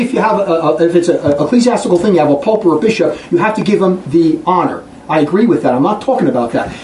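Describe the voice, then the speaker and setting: A man giving an impassioned religious talk to a group in a fast, blunt, combative way. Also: high voice, darkish tone. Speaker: religious preacher. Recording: echoey room, distant mic, faint noise.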